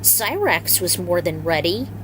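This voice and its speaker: A woman's voice: lowish voice, very animated, unhurried, background noise. A woman reading scripted fiction aloud as the narrator.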